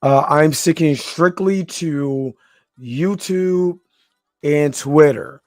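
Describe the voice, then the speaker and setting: A man with a slightly hoarse voice hosting a livestream, talking casually in an informal, rambling, hesitant way. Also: on the high side, drawn-out words, no room sound, studio quality. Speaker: host of a livestream reaction show.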